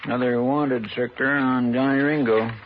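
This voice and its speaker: Western sidekick, played by a man: twang, eager, deferential, folksy, phone-thin old broadcast with clear hiss. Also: mid-range voice, fairly even intonation, moderate pace, close to an everyday voice. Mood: eager, distracted. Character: folksy, eager lawman's helper, adult man. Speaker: actor in a radio western. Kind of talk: old-time radio drama acting. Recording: tinny, noisy old radio recording.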